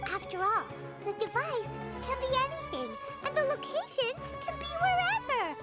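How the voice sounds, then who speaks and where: Squeaky, extremely high-pitched tiny fairy girl. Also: sing-song, normal speed. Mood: delighted. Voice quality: squeaky, childlike. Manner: exclamatory, speaks of self in third person. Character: tiny floating fairy companion girl, bubbly, food-loving, excitable. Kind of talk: animated video game voice acting. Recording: game music playing behind. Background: loud playful game music.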